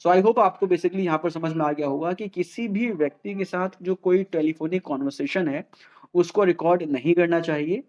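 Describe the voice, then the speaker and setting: A man's voice: high voice, moderate pace, darkish tone, muffled recording. An Indian man presenting an explainer in a didactic way, repeating key points and mixing Hindi with English. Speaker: host of a legal explainer channel.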